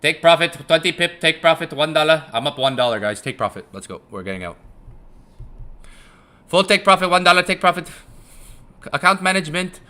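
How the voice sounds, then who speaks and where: A man's voice: fairly high pitch, expressive, fairly quick, long pauses, sharp tone. A young man hosting a casual live stream, rambling, chuckling and swearing casually as he repeats himself. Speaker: live-stream trading host.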